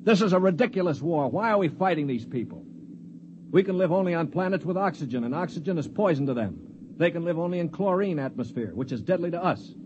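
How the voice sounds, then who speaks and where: A man playing a calm sardonic narrator-hero. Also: fairly high pitch, fast, noisy background, close to an everyday voice. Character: world-weary space traveler and narrator. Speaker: narrator and lead. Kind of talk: 1950s radio drama acting. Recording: dull old radio recording with music behind.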